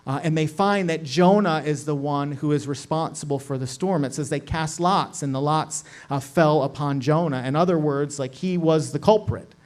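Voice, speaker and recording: Middle-aged guy preaching, chatty sermon style, kinda high voice, keeps saying "right?". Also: moderate pace, few pauses, darkish tone. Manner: casual, lots of "like" and "right?" asides. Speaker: pastor. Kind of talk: conversational sermon.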